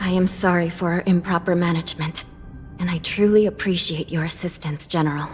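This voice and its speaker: A woman's voice: lowish voice, fairly even intonation, brisk, faint music behind, close to an everyday voice. An actress playing a formal, earnest female judge in a game cutscene.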